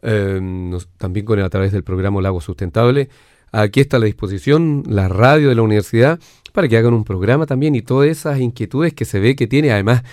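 Male interviewer for campus radio, Chilean accent, fairly deep voice, conversational and reflective delivery. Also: a little fast, a little mellow. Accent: Chilean Spanish.

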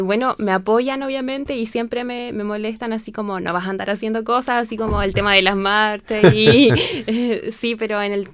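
Young female student guest, southern Chilean accent, clean smooth tone, dry close sound, casual rambling answers. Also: fairly high pitch, dry recording. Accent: southern Chilean Spanish. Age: young adult. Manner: informal, rambling, repeats phrases. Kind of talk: casual spoken interview.

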